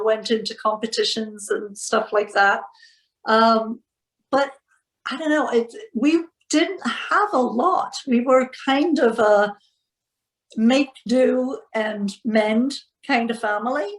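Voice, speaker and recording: A woman from the northeast of England with a northern English accent, talking reflectively and casually as an artist in an interview, thoughtfully searching for words. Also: fairly high pitch. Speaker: textile doll artist being interviewed. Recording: roomy, echoing sound.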